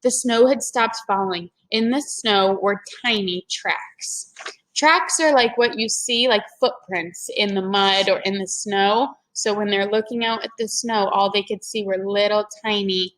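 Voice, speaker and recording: A woman's voice: medium pitch, a little slow, a bit bright, poor audio. A woman reading a children's story aloud in a teacherly way, explaining things to young listeners. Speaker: teacher-like read-aloud reader.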